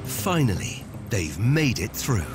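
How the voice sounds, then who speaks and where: A man's voice: lowish voice, sing-song, slow, over loud music. A man reading scripted narration as a documentary narrator.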